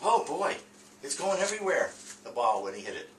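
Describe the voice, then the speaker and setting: A man teaching a reading lesson in an informal, halting way, repeating words and often checking in with the listener. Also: medium pitch, sing-song. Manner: halting, frequent stammered repeats and check-in tags. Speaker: teacher demonstrating a read-aloud lesson. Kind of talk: classroom reading and phonics lesson, informal and unscripted. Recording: roomy, distant mic with faint noise.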